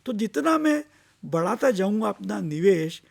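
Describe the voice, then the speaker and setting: Male finance educator, Indian accent, high-set voice with creak, deliberate explanatory delivery that repeats key points. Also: sing-song. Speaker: finance expert giving investor education. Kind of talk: explanatory lecture mixing Hindi and English.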